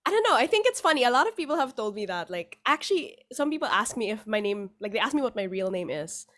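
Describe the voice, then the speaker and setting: A woman's voice: fairly high pitch, sing-song, fast talker. A young woman from the Philippines, a musician guest chatting in a bubbly, grateful way. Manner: bubbly, laughs often, warm and grateful. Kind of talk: casual interview chat.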